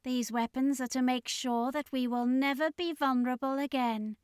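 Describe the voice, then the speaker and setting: A woman voicing a proud, vengeful octopoid alien emperor in a slow, deliberate, imperious manner for a story reading. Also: sits high, steady tone, clear, resonant tone, no room sound, studio quality, voice pushed up, lightly acted. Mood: defiant. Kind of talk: audiobook character voice.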